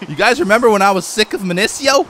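Excitable man gushing over a game. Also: sits high, fast talker, slight background noise. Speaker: gamer playing with friends. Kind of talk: casual gameplay chat.

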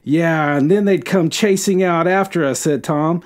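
A man's voice: fairly high pitch, unhurried. A man co-hosting a podcast, retelling a book and reading from it aloud. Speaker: podcast co-host.

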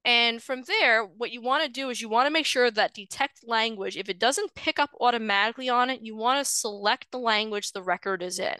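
A woman genealogist walks listeners through an online lecture in a friendly, explanatory way, often pausing on an um or uh. Her voice is bright and clean. The sound is dry and close to the mic. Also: on the high side, smooth, no room sound. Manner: explanatory, friendly, with frequent um and uh fillers. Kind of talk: screen-share webinar lecture. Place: indoors, voice over shared slides.

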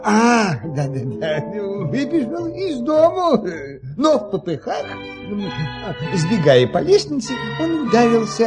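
An actor playing a forgetful, fawning marquis who tells stories in a dithering, stammering, effusive theatrical manner. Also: male voice, sits high, full character voice. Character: flustered, forgetful, fawning marquis, an eager storyteller. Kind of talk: theatrical radio play acting.

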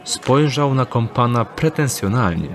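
A man narrating an audio drama in an even storytelling tone. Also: medium pitch, measured pace, background noise.

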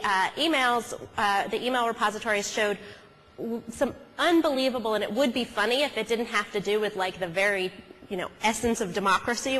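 A woman speaking as a keynote speaker at a conference, telling case stories conversationally with halting self-corrections, from a podium on an auditorium stage. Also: medium pitch, a bit bright. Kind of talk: conference talk, conversational storytelling. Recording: hall reverb from stage sound system.

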